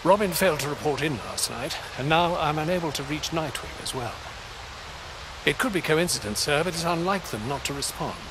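An actor playing a courteous, concerned older butler in a video game, speaking formally with polite restraint. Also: male voice, fairly high pitch, expressive, fairly quick, background noise.

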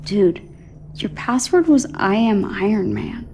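A young superhero fangirl in a video game scene. She sounds earnest and eager, in a medium-pitched girlish voice. A faint ambience sits behind her. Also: very animated, slow, creaky, warm tone, a little hiss or hum, heavily acted. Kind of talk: video game cutscene acting.

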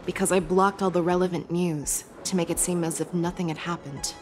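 A woman voicing a calm commanding general. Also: fairly low pitch, music in the background, close to an everyday voice. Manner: measured, lecturing, hushed at times. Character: commanding female general, calm sharp strategist. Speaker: military general. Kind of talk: game cutscene voice acting.

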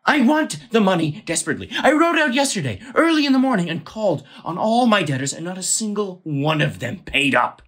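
A woman's voice: lowish voice, big pitch swings, brisk, few pauses, breathy edge. A woman playing an irate, blustering male landowner in an audio play, ranting with theatrical exasperation. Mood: exasperated ranting.

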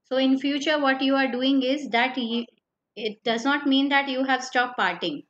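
Woman teacher, higher voice, patient and repetitive lecturing, lo-fi online class mic. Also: moderate pace, a bit bright. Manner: explains step by step, repeats key points, asks "right?". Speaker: accountancy teacher. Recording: slightly dull lo-fi online class audio with faint noise.

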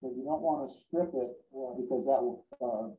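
A man's voice: mid-range voice, normal speed, mellow, a little hiss or hum. A man hosting a woodworking show, explaining step by step as he works in the shop. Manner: explains step by step while working. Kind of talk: casual workshop demonstration. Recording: echoey workshop.

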